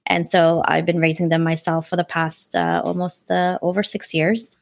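Woman in her forties, lowish voice, reflective storyteller, dry close sound. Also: flat delivery, normal speed, creaky, dry recording. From born in Afghanistan, raised in New York City. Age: 40s. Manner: earnest and reflective, religious phrases, frequent fillers. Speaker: podcast guest. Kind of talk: personal storytelling in conversation.

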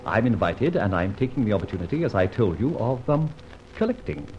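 An Australian actor playing a suave, playful gentleman thief in a 1950s radio drama, brisk and theatrical. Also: fairly low pitch, very animated. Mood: scheming, playful. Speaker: lead character. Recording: dull old radio recording, faint hiss.

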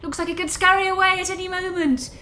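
An actress playing a nervous, apologetic lighthouse hostess who rambles in a flustered way in an audio drama. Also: female voice, very high voice, fairly even intonation, fast talker, pushed and bright. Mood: flustered, eager.

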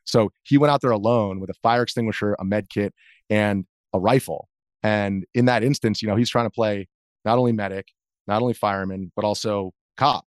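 An American man in his thirties giving a reflective, earnest interview account in a measured way, as if thinking aloud. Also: fairly low pitch, no room sound, clean studio sound. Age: 30s. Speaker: interview guest, video journalist.

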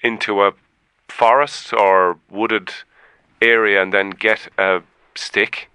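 A man playing an odd caller who gives absurd advice in a flat, matter-of-fact way. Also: lowish voice, slow, smooth, dry recording. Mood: deadpan.

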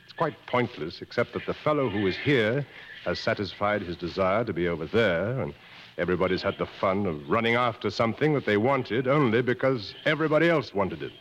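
A man's voice: lowish voice, lively intonation, brisk, fairly bright tone. An actor playing a seasoned, world-weary male jungle guide in a radio drama, speaking in a knowing, didactic, dryly fatalistic way. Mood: weary, philosophical. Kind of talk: mid-century American radio drama acting. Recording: dull vintage radio recording, faint noise.

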